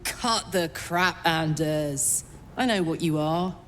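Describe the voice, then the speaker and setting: A fiery escapee from the hells, this warrior woman has a slightly low voice. She is blunt, sweary and full of banter, a brash video game heroine with music underneath. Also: slow, slight background noise. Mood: defiant anger. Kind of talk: video game character acting.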